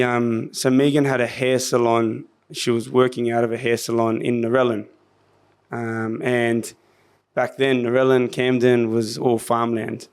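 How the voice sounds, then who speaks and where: An Australian man with a somewhat squeaky voice, a podcast guest telling stories in a casual interview. He acts out what other people said and often restarts his sentences. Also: smooth. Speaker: podcast guest, business founder.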